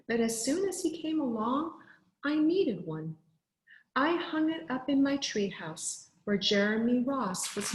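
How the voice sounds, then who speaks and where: A woman teacher reading aloud to children in an expressive storybook style, with deliberate, clearly phrased delivery. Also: medium pitch, roomy sound, low-quality recording.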